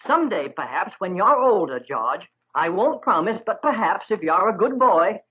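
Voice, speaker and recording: A man voicing a kindly, hospitable farm wife with a homely, gentle manner in a 1940s radio story. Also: sits high, big pitch swings, normal speed, husky, full character voice. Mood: gently coaxing. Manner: warm, homely, gently hesitant. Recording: dull 1940s radio recording.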